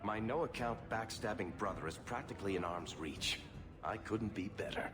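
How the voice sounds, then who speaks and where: A man's voice: fairly low pitch, moderate pace, close to an everyday voice. A man voicing a wry, sardonic male dwarf merchant in a video game cutscene. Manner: sardonic, dry humor. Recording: game cutscene with music behind.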